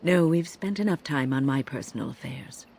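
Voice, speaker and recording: An actress playing a dignified older woman mage in a game, grave and formal with a deliberate delivery. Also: sits low, a little mellow, breathy edge. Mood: earnest. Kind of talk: dramatic game cutscene acting.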